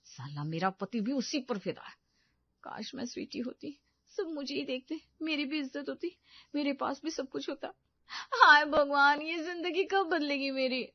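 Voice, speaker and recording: A woman's voice: sits high, animated, moderate pace, dry recording, lo-fi sound, voice pushed up. A woman voicing an envious, discontented young housewife in a storybook tale, whining and exclaiming with self-pity.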